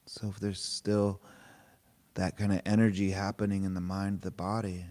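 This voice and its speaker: A man leading a guided meditation, speaking in short, unhurried, gently informal phrases with pauses between them. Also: deep voice, little pitch movement. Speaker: meditation teacher. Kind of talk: guided meditation, spoken softly to a group.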